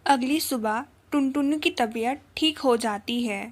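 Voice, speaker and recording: A woman's voice: high voice, unhurried, heavy background noise. A woman reading a scripted children's story as its narrator.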